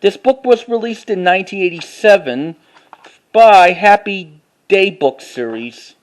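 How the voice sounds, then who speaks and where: A man narrating a casual, unscripted show-and-tell as a collector, haltingly, repeating phrases and reading titles aloud. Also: sits high, animated. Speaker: collector showing finds to camera.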